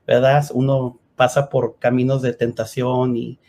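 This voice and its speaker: A man's voice: mid-range voice, level tone, a little slow, mellow, a little hiss or hum. A man, a guest, giving a personal faith testimony and helping his wife find her words. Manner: helps translate his wife, fills in words.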